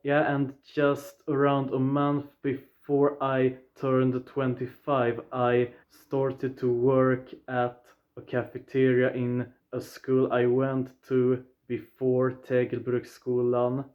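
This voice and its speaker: Slow-talking Swedish young man vlogging. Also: mid-range voice, completely flat delivery, narrow, tinny audio. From southern Sweden. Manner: deliberate, plain phrasing, starts points with "yeah". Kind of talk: casual personal recap to viewers.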